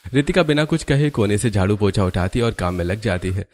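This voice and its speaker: A man's voice: lowish voice, expressive, brisk, a little mellow. A man narrating a scripted cartoon story.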